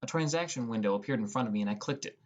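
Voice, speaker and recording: A young man narrates an audiobook in the first person, reading from a script. His voice sits at an ordinary middle pitch. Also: animated, very fast.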